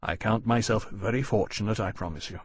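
A man voicing a proud, formal and courteous gentleman in a storybook narration. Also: on the low side, a little fast, breathy edge, dry recording. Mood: reassuring.

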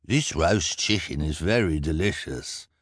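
A man's voice: very deep voice, lively intonation, unhurried, breathy edge, dry recording, clean studio sound, exaggerated voice. A man voicing a crude hook-handed henchman.

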